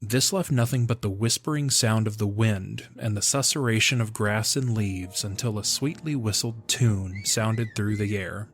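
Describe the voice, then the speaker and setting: A man's voice: on the low side, moderate pace, hardly any pauses, breathy edge. A man giving scripted narration of a fantasy audio drama as its narrator.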